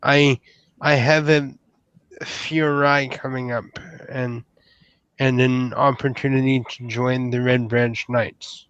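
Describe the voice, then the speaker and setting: Roleplay troll, young would-be knight, male player. Hesitant, formal, halting delivery, extremely unhurried, clean resonant voice. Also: medium pitch, little pitch movement, a bit bright, smooth, no room sound, lo-fi sound. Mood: earnest, unsure. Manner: halting, stammering, formal wording. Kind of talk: live roleplay improvisation.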